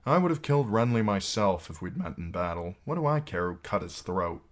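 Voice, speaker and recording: A man voices a maimed knight commander from a fantasy novel. He is blunt and bitter, with a fairly low voice and a dry, sardonic air of command. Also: very animated, a little fast. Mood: wry, cynical.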